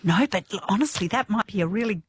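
A woman's voice: medium pitch, animated, fast talker, husky, dry recording, clean studio sound. A woman, a physiotherapist guest talking eagerly on a radio show. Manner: enthusiastic, talkative. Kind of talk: radio interview. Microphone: studio microphone.

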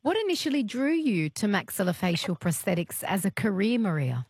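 A woman's voice: medium pitch, very animated, brisk, a little mellow, slightly rough, dry recording, clean studio sound. A woman hosting an interview, asking curious, rambling questions. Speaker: interview host. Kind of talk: interviewing a guest.

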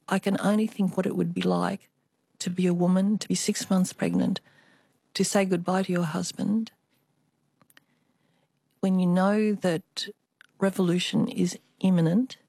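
A woman artist being interviewed, telling family stories in a reflective, unhurried way. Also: lowish voice, gravelly, a little mellow, dry recording, studio quality. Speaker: guest, artist. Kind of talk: radio interview storytelling.

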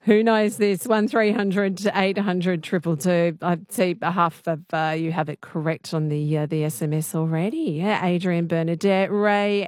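A woman's voice: fairly low pitch, no room sound, clean studio sound. A woman with an Australian accent hosting a live radio quiz, chatting with callers in an encouraging way.

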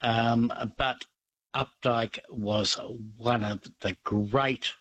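A man's voice: medium pitch, fairly even intonation, extremely slow, fairly bright tone, slight background noise. A man calling in to a radio show, talking hesitantly with many ums and uhs. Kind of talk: casual radio chat.

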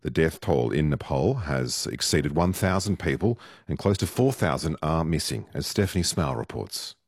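A man's voice: rumbling low, expressive, moderate pace, no room sound, studio quality. A man hosting a radio news program, giving friendly, upbeat introductions. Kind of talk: scripted news program presenting.

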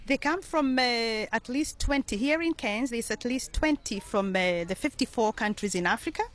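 A middle-aged woman from Kenya with a Kenyan accent, a community leader answering radio interview questions in an earnest, measured way with frequent pauses. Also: on the high side, expressive.

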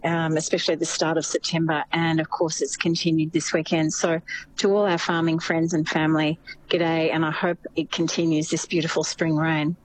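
A woman's voice: on the low side, slight background noise, low-quality recording. An Australian woman, a journalist guest on a radio show, talking in a musing way with many fillers. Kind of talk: casual radio interview.

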